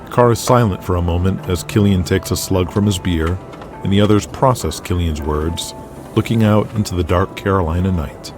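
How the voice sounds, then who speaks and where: A man's voice: sits low, normal speed, music in the background. A man narrating a scripted audio drama.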